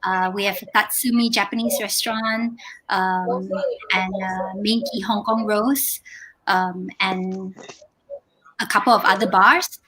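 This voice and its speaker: A woman from Singapore speaks as a guest on a panel about her restaurants. Her voice is bright and forward, and she speaks in a measured way, full of filler pauses. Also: medium pitch, fairly even intonation, rough recording. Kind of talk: panel discussion.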